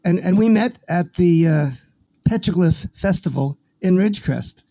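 Gravelly, dark-voiced male podcast host. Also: high voice, normal speed, no room sound. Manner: energetic, folksy, restarts words often. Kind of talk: casual interview with promo reads.

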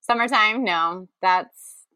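A woman co-hosting a podcast in casual, playful chat, expressive and full of exclamations. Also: medium pitch, takes it slowly, clear, resonant tone, no room sound. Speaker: podcast co-host guessing in a game.

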